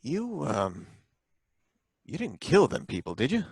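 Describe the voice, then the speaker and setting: Fumbling city inspector, mid-pitched, lively delivery, dry close sound, old-time radio play. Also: male voice, gravelly, breathy edge, no echo, heavily acted. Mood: suspicious. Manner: probing, hesitant, halting questions. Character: suspicious, bumbling male license inspector. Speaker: license inspector.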